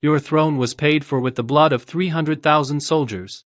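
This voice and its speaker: A man playing a resolute, principled general in a dubbed motion comic, speaking in measured, terse commands. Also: fairly high pitch, no room sound, natural voice. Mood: stern. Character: resolute male general, stern, principled, quietly defiant.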